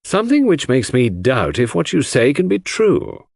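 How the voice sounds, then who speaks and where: A man voicing a puzzled, sceptical English country gentleman with a frank, hearty, questioning manner. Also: mid-range voice, wildly swooping pitch, moderate pace, vocal fry, no room sound, clean studio sound, pitched above the performer's own voice, exaggerated voice. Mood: doubtful.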